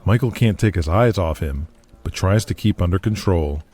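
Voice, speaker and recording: A man's voice: sits low, normal speed, darkish tone, no echo. A man narrating an audio drama in a scripted, dramatic storytelling style.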